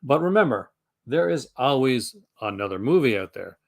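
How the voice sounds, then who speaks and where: Male young adult host, mid-pitched, chatty and rambling, hoarse from a long session. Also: very animated, normal speed. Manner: rambling, enthusiastic, many fillers and restarts. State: losing his voice. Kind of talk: casual live stream chat.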